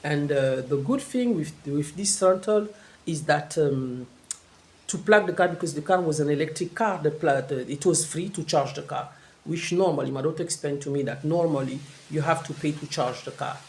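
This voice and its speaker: An older man speaking English, his voice gravelly and sitting fairly high. He rambles casually to his viewers, stopping and restarting with plenty of filler words. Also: normal speed. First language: French. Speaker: vlogger. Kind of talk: casual vlog talk to camera.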